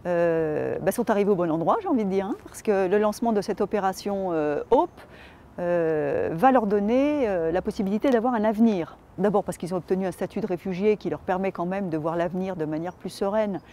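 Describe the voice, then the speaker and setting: An official speaks in a measured, formal interview tone, with a mellow, darker voice. He pauses between phrases with small fillers. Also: high-pitched.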